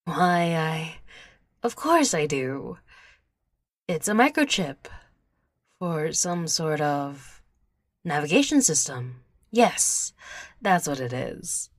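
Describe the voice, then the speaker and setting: Cocky know-it-all space engineer woman acting, stubborn, pompous, unhurried, lowish voice. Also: very animated, dry recording, studio quality, full character voice. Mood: haughty. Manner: pompous, theatrical. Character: boastful, stubborn self-proclaimed genius engineer, sci-fi roleplay. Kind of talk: ASMR audio roleplay acting.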